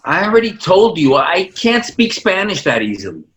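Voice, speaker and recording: A man's voice: sits high, sing-song, moderate pace, fairly bright tone. Man playing a wry young Californian man. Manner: wry, laughing things off. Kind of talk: play reading.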